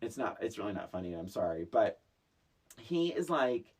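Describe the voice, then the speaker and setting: Podcast cohost just chatting movies, super animated up and down voice, blunt and swears. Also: medium pitch, normal speed, breathy edge. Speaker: podcast co-host. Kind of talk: casual movie discussion.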